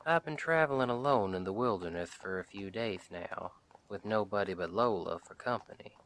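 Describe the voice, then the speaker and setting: A man playing a friendly wandering Western sheriff in an audio roleplay, chatting to the listener in a folksy, wry, unhurried way. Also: fairly low pitch, fairly bright tone, natural voice. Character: friendly wandering Western sheriff, easygoing drifter, dryly humorous.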